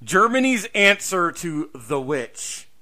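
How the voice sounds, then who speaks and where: Animated man with a bright voice. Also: high voice, slow. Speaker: podcast co-host. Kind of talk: casual horror film chat.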